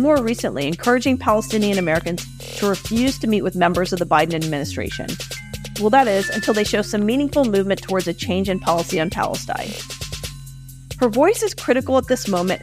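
A woman hosting a podcast, forceful and emphatic, repeating points for punch as she rails and then interviews her guest. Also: medium pitch. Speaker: podcast host. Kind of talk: impassioned opinion monologue and interview.

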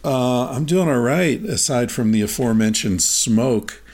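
A man hosting an interview, explaining his ideas in a thoughtful way with frequent pauses and ums. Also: mid-range voice, animated, a little mellow. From United States. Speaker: podcast host. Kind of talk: casual interview conversation.

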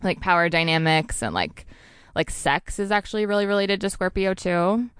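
A young adult woman speaks as an astrologer guest in a relaxed interview. She rambles and leans on "like" and "um." Her voice is very low for a woman, very clear, and dry. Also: normal speed, no room sound. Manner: rambling, many likes and ums. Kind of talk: casual interview chat.

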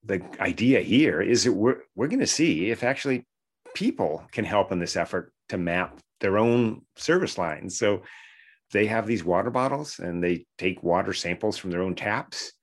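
A man's voice: on the low side, expressive, moderate pace. A man talking conversationally as an interview guest, explaining things step by step like a researcher.

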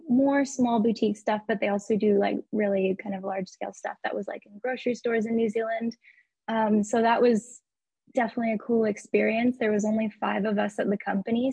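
A woman's voice: mid-range voice, gravelly, darkish tone, breathy edge, dry recording, low-quality recording. A young woman from Arizona, a graphic designer guest, talking in a friendly way full of "like" and "um". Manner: friendly, lots of "like" and "um". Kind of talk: casual interview.

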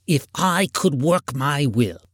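A sour old miser out of a storybook, as acted out by a male reader. He is stern and dismissive, indignant at everyone, and his pitch moves about in lively sweeps. The recording is dry and close. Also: takes it slowly, no room sound, heavily acted. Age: older. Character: crabby, miserly old uncle, sour and scornful. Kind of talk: storybook character reading.

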